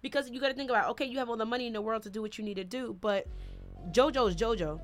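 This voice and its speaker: Fast-talking woman in casual chat. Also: on the high side, soft background music. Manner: fast, emphatic, repeats herself.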